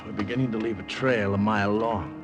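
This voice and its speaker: An actor playing a wry, guarded American undercover agent who talks and narrates tersely in a 1950 radio drama. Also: male voice, on the low side, fairly even intonation, brisk, close to an everyday voice. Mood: wry, hard-boiled. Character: tense, wry American undercover agent, quietly hard-boiled. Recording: vintage radio recording with music.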